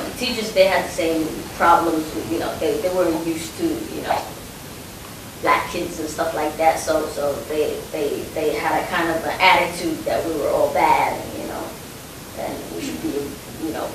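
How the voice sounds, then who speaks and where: A young woman raised in Finland, talking reflectively with lots of "you know" and repeated starts. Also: low-pitched. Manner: many fillers, "you know", hesitant repeats. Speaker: interviewee. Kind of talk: reflective casual talk. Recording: echoey, distant mic with hiss.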